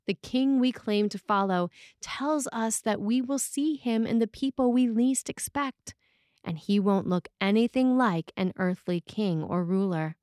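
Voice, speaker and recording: A woman priest reads a scripted sermon in a measured, deliberate way, with pauses between phrases. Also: medium pitch, darkish tone, no echo, studio quality.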